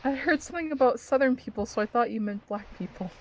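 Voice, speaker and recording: A woman's voice: on the high side, quick pace, a little mellow, a little hiss or hum, low-quality recording. A woman commenting casually as a guest on a stream. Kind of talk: casual chat.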